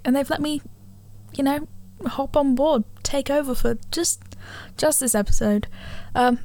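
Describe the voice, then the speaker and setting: A teenage girl hosting a solo podcast from her living room on a handheld mic, chatting casually, rambling and correcting herself, her voice a little gravelly from a cold. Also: fairly high pitch, darkish tone, dry recording. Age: teens. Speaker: podcast host. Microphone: handheld studio mic.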